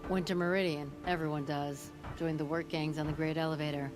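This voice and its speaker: A low, blunt voice of a proud forge boss woman in middle age , played by an actress. She boasts with gruff, folksy humor in the manner of a game character. Music plays clearly underneath. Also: level tone, a little fast, natural voice. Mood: boastful, amused. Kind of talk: video game character acting.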